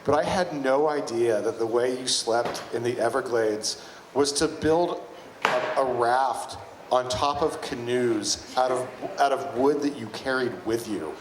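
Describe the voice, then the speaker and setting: Man, American accent, casual event host, informal, echoey sound, clear background noise. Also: medium pitch, a little slow. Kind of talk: casual live emceeing.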